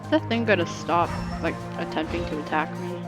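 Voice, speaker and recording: A young woman streams a game with casual running commentary. Her voice is a little low, and she makes playful, teasing remarks. Game music is clearly heard behind her. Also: a little fast. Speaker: gaming streamer. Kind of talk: casual reactive gameplay commentary.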